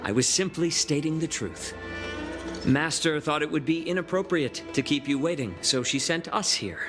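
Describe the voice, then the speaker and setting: A man playing a slyly polite, unruffled retainer in a video game, with formal, measured phrasing over music. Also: on the high side, lightly acted. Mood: courteous. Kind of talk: scripted video game voice acting.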